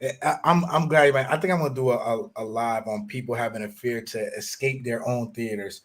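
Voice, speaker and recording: A man's voice: medium pitch, fairly even intonation, fairly quick, a bit bright. A man hosting a live stream chat over a video call, laid-back and agreeable. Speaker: host.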